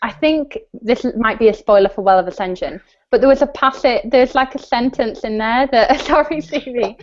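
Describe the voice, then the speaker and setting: A woman with a fairly high voice hosts a casual book discussion. She talks conversationally, feeling her way through sentences with lots of "like" and "kind of." The audio is a little dull, like an online call. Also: moderate pace. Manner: hesitant, conversational, many "like" and "kind of". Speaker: live show host discussing books. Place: video call live stream.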